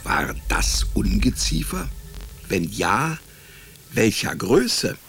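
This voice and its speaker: An actor playing a wry, hapless male private detective, deadpan in his narration and stammering when cornered, in a radio drama. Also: fairly low pitch, takes it slowly, vocal fry, slight background noise, full character voice. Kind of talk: radio drama, first-person hardboiled comic narration.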